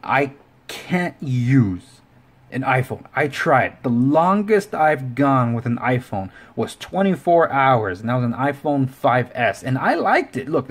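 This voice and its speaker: A man hosting a casual tech review, talking informally and rambling with self-correcting asides. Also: medium pitch. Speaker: tech review show host. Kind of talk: casual video review talk to camera.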